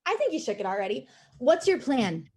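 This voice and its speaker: Woman playing wry young assistant, higher-pitched. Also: very animated, brisk, darkish tone, husky, full character voice. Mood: dry. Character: wry, guarded young female assistant with a dream. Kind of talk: acting in a table read.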